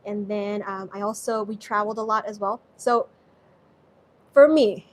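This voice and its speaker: A woman's voice: medium pitch, moderate pace. A woman from Southeast Asia hosting a live-stream cooking show from her home kitchen, chatting loosely and reading viewer comments aloud. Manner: chatty, reads viewer comments aloud, rambling with many restarts. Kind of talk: casual live-stream chat while cooking.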